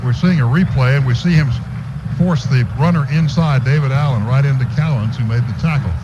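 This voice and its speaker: A man's voice: mid-range voice, fairly quick, muffled recording, noisy background. A man calling football play-by-play and reading sponsor spots on a radio broadcast from a stadium booth. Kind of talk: live sports commentary with sponsor reads.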